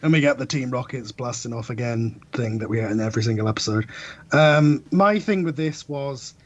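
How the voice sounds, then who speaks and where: A man's voice: medium pitch, brisk, muffled recording. A man speaking as a podcast guest, giving analytical, wry opinions in casual discussion.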